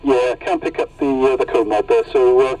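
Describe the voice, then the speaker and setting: A man's voice: mid-range voice, moderate pace. A man working as a CB radio operator, chatting casually over the air. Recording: noisy CB radio audio, distant mic.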